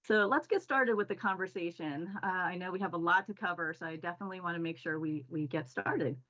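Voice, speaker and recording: A woman's voice: lowish voice, fairly quick, sharp tone, dull audio. A woman hosting a panel discussion, reading formal introductions and questions. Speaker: panel moderator.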